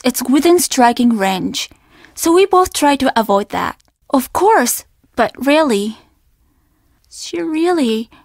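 High-voiced, polite young innkeeper. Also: female voice, no echo, clean studio sound, exaggerated voice. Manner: earnest, apologetic, formally polite. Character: polite, troubled young innkeeper woman at a Japanese inn. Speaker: voice actor in a video game. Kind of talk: video game character dialogue.

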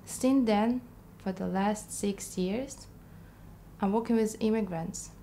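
A young woman with a Russian accent speaks in an earnest, reflective way, now and then pausing to find her words. Her voice is mellow and dark with a gravelly edge of fry. She sits in a quiet indoor studio. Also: mid-range voice, slight background noise. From Kazakhstan. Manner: earnest, reflective, slightly halting. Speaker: immigrant counselor. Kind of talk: personal testimony to camera.

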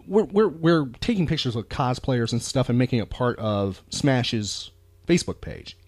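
Man, podcast host, earnest, animated intonation, halting repeated phrases, casual monologue, dry close sound. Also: mid-range voice, no room sound. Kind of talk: casual talk show monologue.

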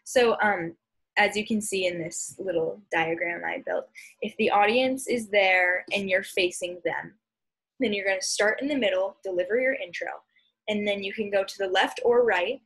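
A woman's voice: medium pitch, fairly bright tone, slightly rough, low-quality recording. A young adult American woman giving an informal lesson over slides, explaining hesitantly with trailing asides, recorded on a computer mic at home. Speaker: speech and debate instructor. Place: small room at home, indoors. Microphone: computer mic on a video call.

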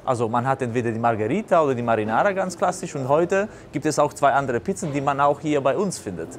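Male, 40s, native Italian with Italian accent, restaurateur being interviewed, halting delivery, mid-range voice, noisy venue ambience. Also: medium pitch, animated. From Basilicata, southern Italy. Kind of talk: unscripted interview answers. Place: indoors in a restaurant bar. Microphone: lapel mic. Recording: clear background noise, restaurant ambience.

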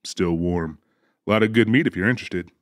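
An actor playing an easygoing, sassy trucker in an audiobook, folksy and teasing. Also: male voice, fairly low pitch, normal speed, no echo, close to an everyday voice. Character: easygoing, sassy male trucker with a joking temper. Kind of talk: dramatized audiobook dialogue.

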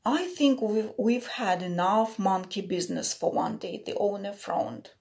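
A woman's voice: mid-range voice, a little slow, creaky, darkish tone. A woman in her thirties or forties reading a picture book to children as a teacher, with simple explanatory asides.

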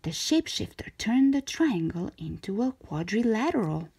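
A woman reading a children's picture book aloud in expressive narration, drawing out key words for emphasis. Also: mid-range voice, normal speed, a little hiss or hum. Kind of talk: expressive scripted storybook narration for young children.

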